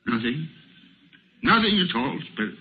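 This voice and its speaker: A man's voice: on the high side, very animated, measured pace, slight background noise. An actor playing a wistful, remorseful old man in an exclamatory, emotional 1930s radio drama style. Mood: earnest, remorseful. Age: older. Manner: exclamatory, repeats words, emotional. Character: old miser Scrooge, agitated, wistful, remorseful. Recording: muffled 1930s radio broadcast recording.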